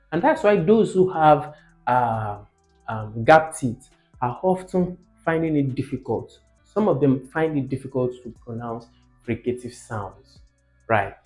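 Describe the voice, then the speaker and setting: A man's voice: a little high, takes it slowly, a little mellow, soft background music. A man teaching informally as a video host, emphatic, repeating key words and putting rhetorical questions to the learner.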